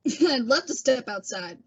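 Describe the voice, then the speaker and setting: A woman's voice: fairly high pitch, lively intonation, takes it slowly, slightly rough, dull audio, heavily acted. A woman playing a cocky, vain teenage Casanova in an old-time radio comedy, with a swaggering, drawling manner. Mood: mocking.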